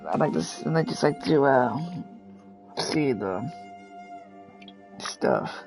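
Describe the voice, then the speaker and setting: A slow-talking gaming streamer with a gravelly edge thinks aloud over faint game music. The delivery is casual and hesitant, often trailing off, with pitch that wanders freely. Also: animated.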